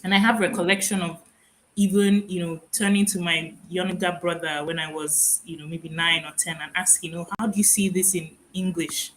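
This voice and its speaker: Bright-voiced woman hosting a podcast. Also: fairly low pitch, moderate pace, rough recording. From Nigeria. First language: Igbo. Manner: reflective, animated, laughs often. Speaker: podcast host. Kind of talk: conversational interview.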